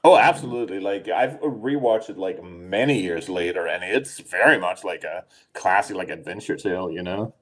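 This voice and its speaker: A man's voice: low-pitched, moderate pace, few pauses, a bit bright. A man in his forties from Denmark, co-hosting a podcast in casual chat, rambling with many fillers and restarts. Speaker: podcast co-host.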